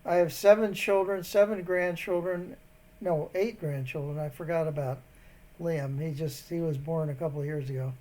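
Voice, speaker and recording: A rambling man with a notably high voice keeps a phone scammer busy. He wanders off mid-sentence and grows indignant at instructions he finds senseless. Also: fairly even intonation, normal speed, mellow, poor audio. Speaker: scambaiter posing as an elderly bank customer. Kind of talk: prank phone call.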